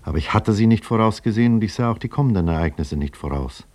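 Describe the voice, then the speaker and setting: An actor playing a sober male scientist and doctor who narrates his own story in a measured, grave, explanatory manner, 1950s radio drama style. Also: lowish voice, lively intonation, a little mellow, natural voice.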